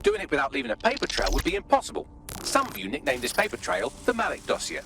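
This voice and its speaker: A man's voice: high voice, very animated, fast, gravelly, noisy background, full character voice. A man voicing a snarky, pedantic artificial intelligence assistant in a video game, dry and wordy. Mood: brisk briefing.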